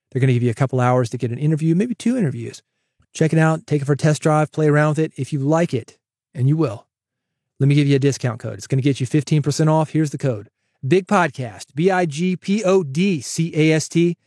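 A man hosting a solo podcast, coaching listeners in an energetic, direct way on a broadcast mic. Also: a little high, no echo, clean studio sound. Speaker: podcast host. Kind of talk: solo podcast advice with sponsor read. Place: home studio, processed dry voice. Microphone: studio broadcast dynamic mic.

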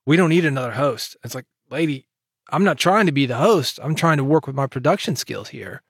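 A man talks as a solo podcast host in a conversational, explanatory style. His pitch sits in the middle of the male range, and the sound is dry with no room around it. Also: animated, fairly quick, fairly bright tone, breathy edge, no room sound. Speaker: podcast host and audio producer.

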